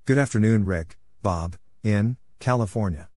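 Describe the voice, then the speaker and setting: A man reading scripted short exchanges as a practice narrator, deliberately spelling out letters and numbers one at a time. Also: on the low side, mellow, slight background noise. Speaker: narrator of Morse code practice.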